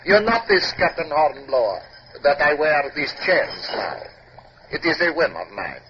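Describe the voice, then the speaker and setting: An actor playing a deranged, imperious fallen despot who thinks himself a god, in grandiose 1950s radio drama style. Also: male voice, fairly high pitch, very animated, a little slow. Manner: grandiose, raving, theatrical. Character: deranged imperious deposed rebel despot in chains, self-proclaimed god. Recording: muffled old radio broadcast, faint hiss.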